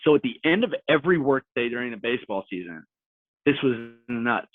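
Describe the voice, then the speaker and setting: Animated man on a tinny line. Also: medium pitch, a little fast, no room sound. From Central New Jersey. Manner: animated storyteller, swears casually. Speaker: podcast guest. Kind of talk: casual chat. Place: home room. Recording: tinny phone-like line, dry.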